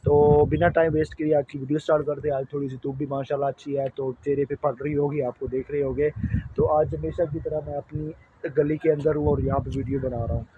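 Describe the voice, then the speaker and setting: A young man from Pakistan, speaking with a Pakistani Punjabi accent, gives friendly, repetitive advice straight to his viewers. His voice sits a little high for a man. He is recording out on a residential street, with faint outdoor noise in the background. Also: level tone, fast talker, narrow, tinny audio. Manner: repetitive, reassuring, brotherly address to viewers. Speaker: vlogger. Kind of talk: casual advice vlog.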